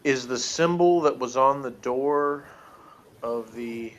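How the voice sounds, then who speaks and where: Man, medium pitch, informal reviewer, casual chat, pauses mid-thought. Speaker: reviewer co-hosting a TV review show.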